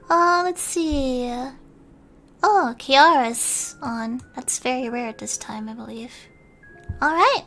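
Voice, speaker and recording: A woman streamer reading a bedtime story in a soft ASMR style, at times slipping into a whisper. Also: sits high. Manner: soft-spoken, sometimes whispering.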